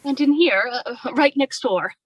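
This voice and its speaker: A strong-willed young wife acted by a woman with a high-pitched voice. She speaks in a confiding, insistent and polite way, in the style of old-time radio drama. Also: expressive, moderate pace, lo-fi sound. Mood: coaxing.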